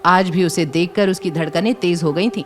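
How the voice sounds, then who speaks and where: A woman's voice: on the low side, normal speed. A woman narrating a scripted audio story in a dramatic storytelling style.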